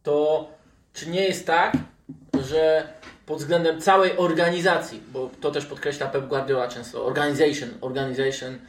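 A man with a slightly higher-pitched voice hosts a football discussion. He sounds a little away from the microphone in a roomy space. He pauses and steers the talk with questions. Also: flat delivery, far from the mic, slight background noise. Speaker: podcast host.

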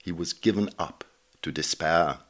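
A man's voice: low voice, normal speed, fairly bright tone. A man reading written notes aloud as a scripted narrator, dramatising the recollections as he goes.

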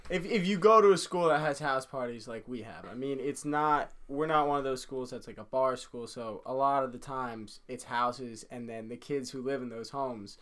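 Young man in relaxed, swearing podcast chat. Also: medium pitch, normal speed, few pauses. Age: young adult. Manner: laid-back, swears a lot, laughs often. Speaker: podcast co-host. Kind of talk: casual chat.

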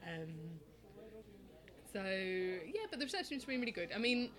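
A young woman from England with an English accent, answering interview questions in a relaxed, hesitant way with many ums and restarts. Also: medium pitch, normal speed. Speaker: interview guest, oral history project maker. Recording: crowd noise in background.

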